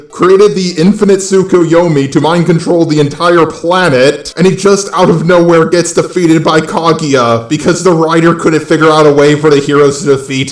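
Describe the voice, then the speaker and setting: A man's voice: on the high side, reverberant, poor audio. A man narrating scripted, opinionated commentary on fiction in a sardonic, casually crude way, with a robotic effect on his voice. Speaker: video essay narrator.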